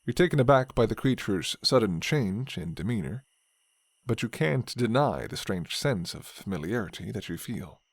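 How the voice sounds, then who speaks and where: Low-voiced man narrating a fantasy story. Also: expressive, normal speed, dry recording. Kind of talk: scripted second-person fantasy story narration.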